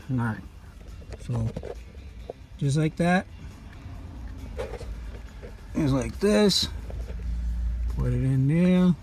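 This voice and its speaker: A man from Brazil with a Brazilian Portuguese accent, casually explaining a car repair while working and filming on a phone in one hand, with loose, repetitive stop-start talk. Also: a little high. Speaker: DIY car repair youtuber. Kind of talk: casual how-to demonstration. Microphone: phone held in one hand.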